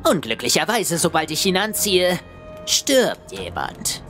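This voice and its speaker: A man voicing a male alien tyrant villain in an anime parody dub, smug, sardonic and condescending, with theatrical drawn-out words. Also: on the high side, wildly swooping pitch. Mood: menacing smug. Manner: sardonic, condescending, drawling for effect. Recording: music behind the voice.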